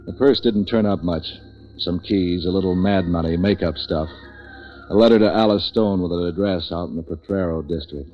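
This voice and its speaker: An actor playing a street-wise, wisecracking hired tough who narrates a 1940s radio detective drama in a clipped, deadpan way. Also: male voice, sits low, moderate pace, music in the background, lightly acted. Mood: deadpan, world-weary. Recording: dull vintage 1940s radio recording.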